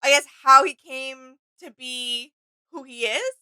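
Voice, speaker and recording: A woman's voice: high-pitched, slow, pushed and bright, clean tone, dry recording. A woman chatting casually and agreeably as co-host of a reaction video. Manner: agreeable, informal. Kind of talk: casual chat.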